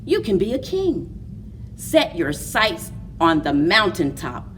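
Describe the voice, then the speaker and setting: Slow, very animated woman reading to children. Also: fairly low pitch, far from the mic, slight background noise. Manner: slow, deliberate, expressive delivery for young children. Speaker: library story time host. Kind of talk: children's picture book read-aloud and story time.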